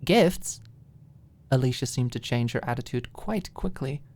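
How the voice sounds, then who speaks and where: A woman narrating scripted fiction as an ASMR audiobook, with expressive, dramatic storytelling. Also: very deep voice, a little fast.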